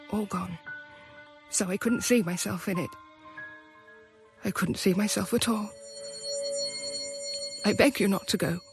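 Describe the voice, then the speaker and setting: A slow, fearful young wife. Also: female voice, medium pitch. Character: anxious young wife with dark premonitions. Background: music.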